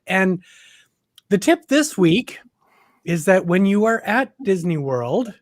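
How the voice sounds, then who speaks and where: A man's voice: fairly high pitch, no echo. A man co-hosting a casual live stream, laughing often and stumbling over his words. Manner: giggly, stumbling restarts. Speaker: co-host.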